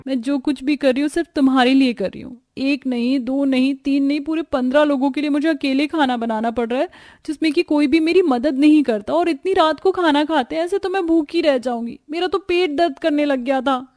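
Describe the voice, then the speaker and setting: A woman's voice: high voice, brisk, darkish tone, no room sound, studio quality, faint music behind, voice pushed up, lightly acted. A woman voicing a weary, exasperated young daughter-in-law in a cartoon story, complaining and pleading emphatically. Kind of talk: Hindi cartoon story acting.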